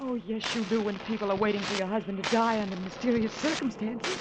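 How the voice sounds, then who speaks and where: An actress playing a tense, defensive, scheming wife in a 1940s radio drama. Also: female voice, mid-range voice, fast talker, heavy background noise, close to an everyday voice. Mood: pleading.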